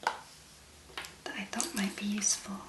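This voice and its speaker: A woman speaking in a hushed, gentle whisper with long pauses, as an ASMR host. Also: mid-range voice, level tone. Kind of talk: soft-spoken whispered ASMR. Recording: roomy echo, clear background noise.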